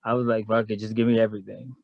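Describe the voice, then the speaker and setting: A man's voice: on the low side, flat delivery, rapid-fire, creaky, no room sound, dull audio. A man from Guyana, a guest in a casual chat, telling a long story in a frank, rambling way.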